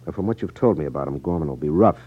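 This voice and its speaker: An actor playing a shrewd, brusque detective in a 1950s radio crime drama, with a dry, sardonic, lecturing manner. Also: male voice, a bass voice, animated, racing, breathy edge, full character voice. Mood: stern, scornful. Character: shrewd, brusque, world-weary private gambling investigator. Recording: dull lo-fi 1950s radio recording.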